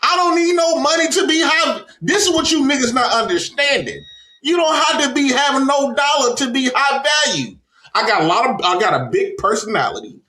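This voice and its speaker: A man's voice: very high-pitched, animated, a little fast, bright, forceful tone, echoey room. A man bragging about himself in a casual group chat, laughing often and telling stories animatedly. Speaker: panel guest.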